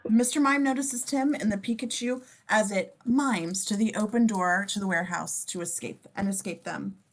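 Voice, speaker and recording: A woman's voice: mid-range voice, normal speed. A woman reading scene descriptions in a casual amateur script read-through, as the narrator.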